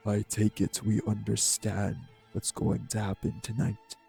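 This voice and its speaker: A man playing an arrogant, obsessive vampire villain in an audio drama, with a dark, raspy voice and grandiose, measured menace. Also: lowish voice, completely flat delivery, soft background music. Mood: menacing. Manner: grandiose, formal, measured threats. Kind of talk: dramatic audio roleplay acting.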